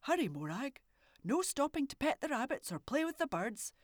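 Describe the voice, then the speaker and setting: A woman's voice: sits high, very animated, moderate pace, dry recording, studio quality, voice pushed up. Woman doing a firm, loving Scottish mum. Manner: firm, scolding warmth. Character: busy, firm but loving Scottish mother.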